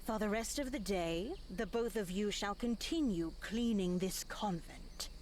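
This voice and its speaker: An actress voicing a stern, cold older nun who commands in a slow, menacing way, in a visual novel. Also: female voice, mid-range voice, background noise, natural voice.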